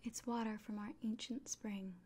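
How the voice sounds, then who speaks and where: A woman voicing a kindly, earnest elven innkeeper in a gentle, breathy, unhurried whisper for an ASMR fantasy roleplay. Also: medium pitch, little pitch movement, slight background noise, close to an everyday voice. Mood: gentle, reverent.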